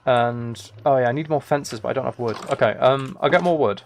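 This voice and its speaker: Gravelly-edged man muttering over a game. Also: medium pitch, fairly quick. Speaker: livestreamer. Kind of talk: casual gaming commentary. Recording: keyboard typing and clicking noise. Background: keyboard and mouse clicks.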